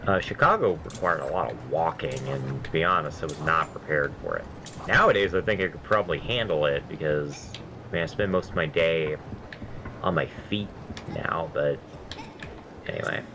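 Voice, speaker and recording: A man with a very deep, nasal voice talks through his gameplay in loose, rambling asides. He drifts between memories and grumbles at each failed jump. A steady hiss of noise sits under the recording. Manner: rambling, self-deprecating, swears at deaths. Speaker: gameplay commentator. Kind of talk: casual let's-play chat.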